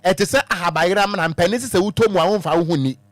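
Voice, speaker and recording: A man's voice: high-pitched, very fast, fairly bright tone, no room sound. A Ghanaian man hosting a phone-in talk show on the radio from a studio. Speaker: radio host.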